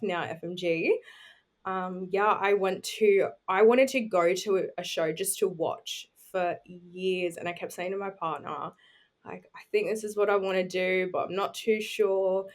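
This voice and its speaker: A woman's voice: mid-range voice, fairly even intonation, measured pace. A woman, chatting informally as a podcast guest and telling her own story. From New Zealand, raised on Australia's Gold Coast. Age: 20s. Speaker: podcast guest, bodybuilding competitor. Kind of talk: casual chat, personal storytelling.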